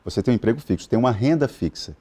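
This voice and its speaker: Hesitant male TV host, mellow voice. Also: medium pitch, expressive, racing, breathy edge. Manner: hesitant, many fillers and restarts. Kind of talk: live TV interview. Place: TV studio.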